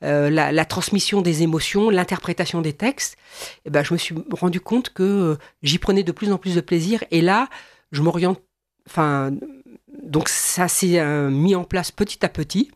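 A woman's voice: on the low side, normal speed, darkish tone, dry recording. Woman, singer guest, hesitant, restarts often, repeats agreement, dry close sound. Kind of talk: radio interview.

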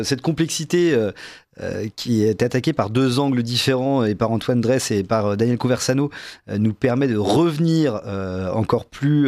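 A man hosting a discussion, measured and halting as he searches for his words. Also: mid-range voice, a little mellow, no room sound, studio quality. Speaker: discussion host. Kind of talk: moderating a panel discussion.

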